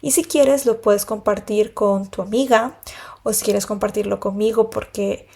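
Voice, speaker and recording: Woman podcast host, earnest personal monologue, soft warm tone, unhurried pacing. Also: mid-range voice, creaky, husky. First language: Spanish. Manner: earnest, unhurried, pauses between phrases. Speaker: podcast host, pilates and yoga teacher.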